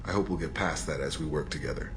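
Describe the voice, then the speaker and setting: An actor voicing a polished male corporate agent who speaks smoothly and courteously, in a game cutscene. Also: extremely low pitch, fast. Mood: diplomatic. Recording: game audio with ambient noise.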